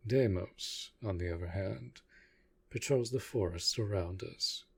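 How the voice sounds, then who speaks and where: A man playing an ancient, possessive vampire master in a roleplay audio drama, speaking formally and deliberately in a breathy, often hushed voice with cool menace. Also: sits low, exaggerated voice. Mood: formal, composed.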